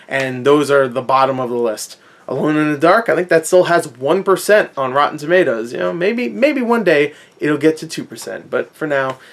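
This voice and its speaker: A man giving a casual, unscripted movie rant as an online host, conversational and opinionated, with emphatic repetition. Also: on the high side. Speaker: YouTube movie reviewer and host.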